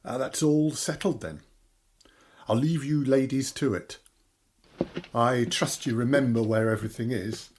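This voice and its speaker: A man's voice: medium pitch, fairly even intonation, unhurried, long pauses, darkish tone, slight background noise, natural voice. Actor as polite, formal male solicitor. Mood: polite, hurried. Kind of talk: audio drama acting.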